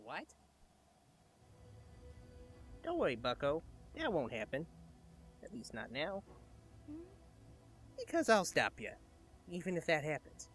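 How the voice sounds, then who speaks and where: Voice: medium pitch, huge pitch swings, a little slow, long pauses, fairly bright tone, soft background music. A performer voicing a laid-back, joking, protective male skeleton with casual delivery in a comic dub. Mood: reassuring, weary. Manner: casual, drawling puns.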